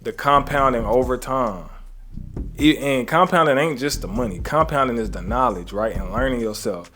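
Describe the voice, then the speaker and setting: A man's voice: medium pitch, normal speed, fairly bright tone, slight background noise. A man coaching traders in a motivational talk, earnest and conversational. He preaches gratitude, refers to his faith and often checks in with "right?". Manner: conversational, often adds "right?" and "you know what I'm saying?". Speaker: trading coach.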